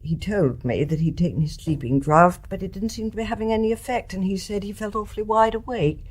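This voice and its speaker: An actress plays an older English mother in a radio drama, her husky voice grave and resolute. She speaks with a British accent in measured, refined phrases, at a middling pitch for a woman. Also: a little mellow, natural voice. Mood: grave, reflective.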